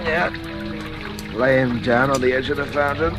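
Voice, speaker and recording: Male actor as nervy ex-convict schemer, middling-low pitch, theatrical vintage radio suspense delivery, music underneath. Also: normal speed, lightly acted. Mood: tense, furtive. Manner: agitated muttering to himself, theatrical. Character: panicky male ex-convict plotting to cover up a killing. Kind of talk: 1940s radio suspense acting.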